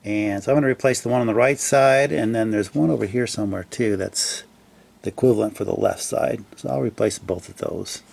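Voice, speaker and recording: A man with a deep-leaning, gravelly voice talks through a hands-on repair in a casual, unscripted way. He speaks haltingly, thinking out loud and often letting phrases trail off. There is plenty of vocal fry in his delivery. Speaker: hobbyist repairer presenting his own fix.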